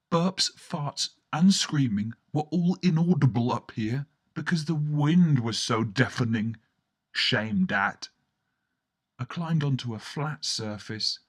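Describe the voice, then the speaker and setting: A man narrates a surreal scripted radio monologue in the first person. His voice sits a little high and swings widely in pitch. The delivery is theatrical, breaking into colloquial asides and exclamations.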